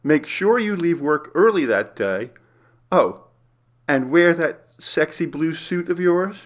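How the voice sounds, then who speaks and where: A man's voice: a little high, animated, takes it slowly, voice pushed up. A man voicing an affectionate, flirtatious wife in a storybook reading.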